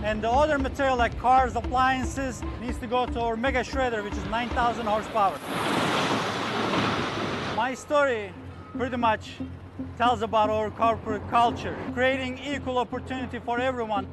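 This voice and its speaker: A man's voice: very high-pitched, steady tone, moderate pace. A man from Turkey with a Turkish accent, speaking earnestly in slightly broken English as a recycling plant manager in a documentary interview outdoors at a port. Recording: music bed underneath.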